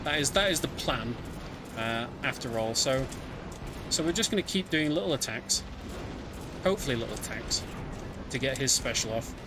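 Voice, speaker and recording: A man giving casual, conversational live commentary on a video game speedrun, often pausing with ums. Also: mid-range voice, expressive, noisy background. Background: game music.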